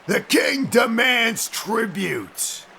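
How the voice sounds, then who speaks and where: A man playing a stout, brutal prison bully in an audio drama, with a rasping voice and a threatening, barking manner. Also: extremely high pitch, big pitch swings, extremely slow, sharp tone, full character voice. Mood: furious threats. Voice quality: rasping, gravelly.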